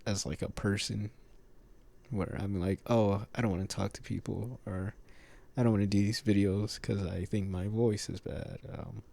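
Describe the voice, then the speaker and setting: Low, gravelly male vlogger, slightly nasal, hesitant delivery with lots of "um" and "like", mellow tone. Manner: halting, many fillers and pauses. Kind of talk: casual reflective monologue.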